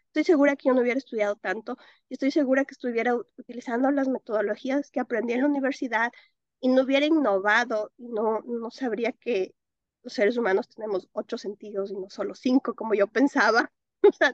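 Expressive Ecuadorian woman, interview guest. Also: fairly high pitch, no echo, dull audio. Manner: expressive, laughs often, mixes in English words. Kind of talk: interview answers.

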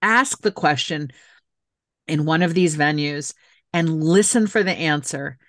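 A woman's voice: low voice, a little slow, no echo, clean studio sound. A middle-aged woman speaking as an interview guest, emphatic and heartfelt, repeating phrases for stress. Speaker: guest, author and city council member. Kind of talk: earnest interview talk.

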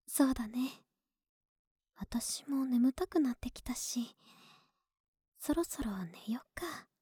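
A woman acting a breathy, sleepy, affectionate young neighbor. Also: on the high side, no echo, studio quality, full character voice. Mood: tender, soothing, sleepy. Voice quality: soft, breathy, often whispered. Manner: slow, tender, coaxing, drawn-out drowsy words. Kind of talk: Japanese ASMR situation voice drama, intimate and soothing. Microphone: very close ASMR microphone, dry sound.

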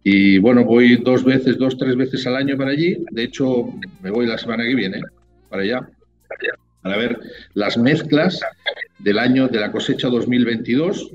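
A man's voice: mid-range voice, moderate pace, dull audio, soft background music. A man from Spain with a Spanish accent, a winemaker talking casually as an interview guest, rambling and full of tag questions.

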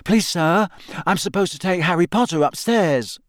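A man voicing an eager, nervous young schoolboy with a squeaky voice and deferential manner, in an audiobook. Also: normal speed, no room sound, clean studio sound, close to an everyday voice. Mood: nervous, pleading.

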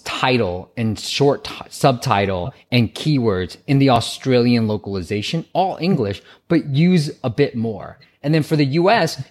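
A man's voice: mid-range voice, a little mellow. A man advising on app marketing in a casual call, chatty and coaching.

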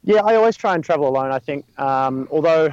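A man's voice: fairly high pitch, lively intonation, fast, a little mellow, smooth, no echo, muffled recording. A man with an Australian accent, chatting as an interview guest, rambling with many fillers and restarts. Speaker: guest, stuntman. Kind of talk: casual interview talk.

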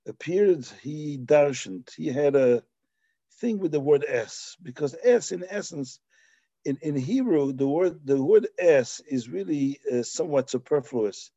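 A man's voice: a little high, mellow, no echo, highs cut off. A man raised in Belgium giving a Talmudic lecture, explaining passages with Hebrew and Yiddish terms and frequent restarts. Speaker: Torah teacher.

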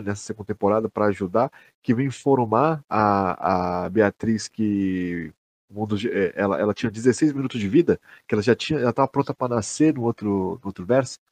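A man's voice: fairly low pitch, brisk, a little mellow, dry recording. A man with a Brazilian accent chatting casually as a podcast co-host.

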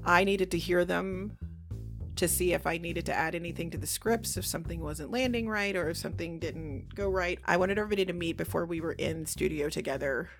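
A woman with a creaky edge to her voice, explaining her directing process as a guest in a casual interview. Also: fairly low pitch, fairly quick, soft background music. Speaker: audio drama creator and director, interview guest.

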